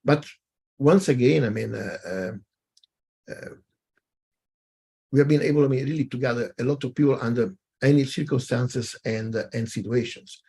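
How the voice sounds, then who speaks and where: A man runs a webinar, talking through his slides in a halting way, full of fillers and restarts. His voice is gravelly and a little husky. He is on a video call. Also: medium pitch, mellow. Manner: hesitant, many fillers and restarts. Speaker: webinar moderator. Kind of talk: webinar presentation.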